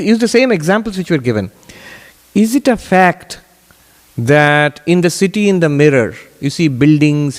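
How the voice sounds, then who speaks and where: Man, spiritual teacher, higher-pitched voice, deliberate emphatic phrasing, question-and-answer teaching, long hall reverb.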